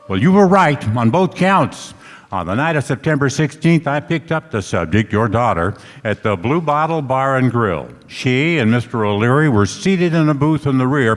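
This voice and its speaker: A man's voice: medium pitch, close to an everyday voice. A man playing a wisecracking hard-boiled private eye, wry, tough and slangy, in a noir radio play. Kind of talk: noir radio play narration and dialogue on stage. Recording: reverberant hall stage recording.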